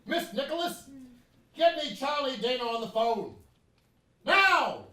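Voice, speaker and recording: An actor playing an irascible, cynical 19th-century American newspaper editorialist in a theatrical, sardonic stage radio play. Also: male voice, extremely high pitch, big pitch swings, a little slow, sharp tone, heavily acted. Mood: irritated, blustering. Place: playhouse stage. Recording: echoey hall, distant mics, faint noise.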